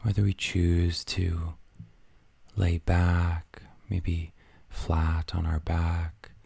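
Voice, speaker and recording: A man leading a guided meditation in a soft, soothing, breathy near-whisper, gently and with long pauses between phrases. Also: low-pitched, monotone, no room sound.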